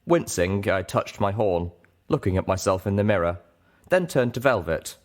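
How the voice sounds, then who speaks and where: A man narrating an audiobook as an earnest, self-doubting young mare, in a measured, deliberate way. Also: fairly low pitch, expressive, lightly acted. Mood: anguished, self-doubting. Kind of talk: scripted first-person audiobook narration.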